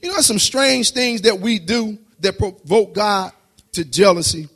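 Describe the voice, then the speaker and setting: A man preaching a sermon, reading scripture slowly before preaching with rising intensity. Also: breathy edge. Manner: reads scripture slowly, then preaches rising in pitch and loudness.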